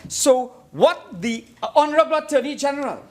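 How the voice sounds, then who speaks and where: A man's voice: extremely high pitch, lively intonation, normal speed. A Trinidadian man giving a formal parliamentary debate speech as an opposition member, emphatic and oratorical, repeating phrases for effect. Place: parliament chamber.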